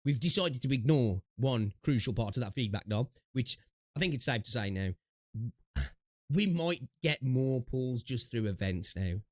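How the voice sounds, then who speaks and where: A man's voice: medium pitch, animated, unhurried, dry recording. A man streaming games, chatting loosely with restarts, fillers and swearing, sniffling from allergies. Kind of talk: casual gaming stream chat.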